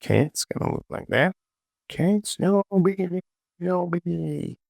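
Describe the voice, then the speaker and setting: A man narrating a casual step-by-step software tutorial in short instructions, often repeating phrases and asking himself questions. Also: high voice, moderate pace, creaky, no echo, clean studio sound.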